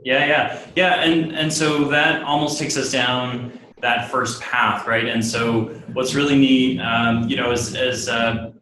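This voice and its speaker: A man's voice: mid-range voice, steady tone, slow pace, bright, forceful tone. A man hosting a webinar interview, upbeat and full of praise for his guest. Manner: upbeat, effusive. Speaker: webinar host. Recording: roomy with faint background noise.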